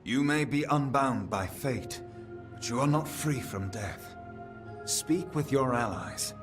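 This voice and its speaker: A man's voice: mid-range voice, animated, slow, music in the background. An actor playing a solemn, ancient fae king in a fantasy game, speaking in a formal, portentous manner. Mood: grave counsel. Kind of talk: scripted fantasy game acting.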